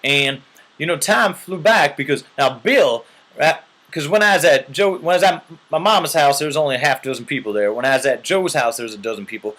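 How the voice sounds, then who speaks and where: A man's voice: on the high side, brisk, a bit bright. A man telling a long mock-serious story in an earnest, rambling way, often checking in with "right?".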